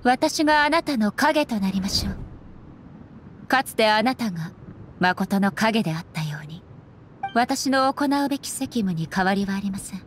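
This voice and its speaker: A woman playing a stern, solemn immortal warrior goddess in a game, speaking formally and with measured deliberation. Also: medium pitch, lively intonation, a little hiss or hum, close to an everyday voice. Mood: resolute. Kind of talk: dramatic game voice acting. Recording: background music under the voice.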